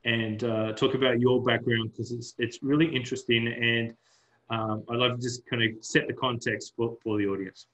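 A man's voice: mid-range voice, little pitch movement, moderate pace, a bit bright. A man in his thirties hosting a video-call interview, eager and stumbling over his restarts. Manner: enthusiastic, restarts often. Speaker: host. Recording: roomy, dull video-call audio.

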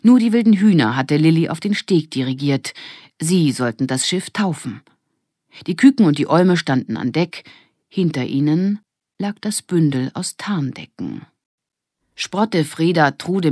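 A woman's voice: sits low, expressive, normal speed, darkish tone, no echo, studio quality. A woman narrating a children's audiobook in a scripted storytelling style.

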